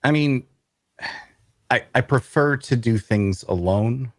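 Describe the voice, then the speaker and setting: A man's voice: mid-range voice, takes it slowly, no echo. A man playing a grumpy, evasive loner in a fantasy audio drama, speaking in a dry, curt, hesitant way. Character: guarded, grumpy, evasive loner male traveler. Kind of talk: dark fantasy audio drama table read.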